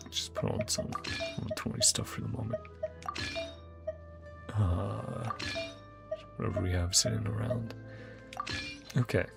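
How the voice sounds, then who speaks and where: A man streams a game in a slow, creaky voice that moves freely up and down in pitch. He comments casually and mutters to himself as he thinks, with music clearly playing behind him. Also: huge pitch swings.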